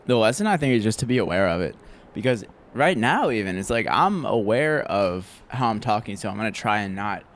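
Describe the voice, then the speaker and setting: A man co-hosting a casual podcast, with a gentle voice and a reflective, somewhat hesitant way of talking. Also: lowish voice, very animated, fairly quick, few pauses. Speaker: podcast co-host. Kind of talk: casual chat.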